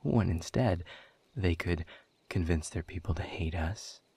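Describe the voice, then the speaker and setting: A man playing a sardonic, world-weary demon director in a scripted audio roleplay, speaking in dry, sarcastic, wordy drawls with a husky, breathy low voice. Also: unhurried, dark tone, dry recording, exaggerated voice. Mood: grim, bitter. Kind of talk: scripted audio roleplay monologue addressed to the listener.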